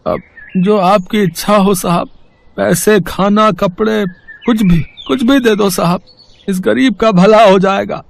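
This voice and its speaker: A man playing a poor, humble, kind young beggar in a storybook tale, with a gentle, pleading, deferential manner. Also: high voice, unhurried, gravelly. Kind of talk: Hindi storybook character dialogue.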